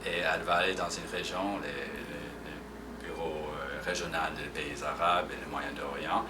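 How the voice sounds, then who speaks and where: A man's voice: rumbling low, fairly even intonation, measured pace, background noise. A man giving an emphatic, praising testimonial. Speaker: colleague giving a tribute. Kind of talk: recorded testimonial. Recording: echoey, distant, music behind.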